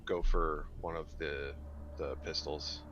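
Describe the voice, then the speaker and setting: Male roleplayer, deep voice, casual descriptive table talk, dull lo-fi sound, background noise. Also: little pitch movement, takes it slowly, fairly bright tone. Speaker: player in a tabletop roleplaying game.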